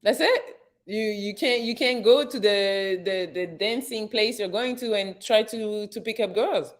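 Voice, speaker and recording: A woman's voice: medium pitch, unhurried. Blunt woman hosting an advice show. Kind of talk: casual call-in chat.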